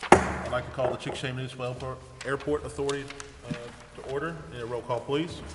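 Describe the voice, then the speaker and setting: A man's voice: mid-range voice, steady tone, quick pace, over music. A man speaking formally as chair of a procedural meeting in a council chamber. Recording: reverberant council chamber, clearly noisy.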